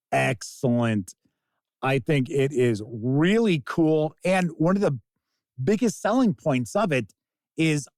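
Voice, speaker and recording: A man's voice: on the high side, darkish tone, no echo. A man giving a casual spoken review in an emphatic, opinionated, conversational way, recorded on a close microphone. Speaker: game reviewer and host.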